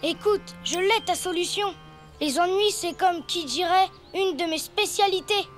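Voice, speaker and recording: A woman's voice: very high voice, a little slow. A woman voicing a perky, chatty young child in a French cartoon. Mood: confiding. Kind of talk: French cartoon dub acting. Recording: music behind the voice.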